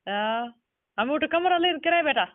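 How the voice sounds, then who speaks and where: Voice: sits high, lively intonation, normal speed. Rajasthani accent, pushy repeated questions, casual phone call, muffled narrow line, no echo. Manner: insistent, repetitive questioning. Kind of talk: informal phone conversation, questioning. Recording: muffled band-limited phone call recording.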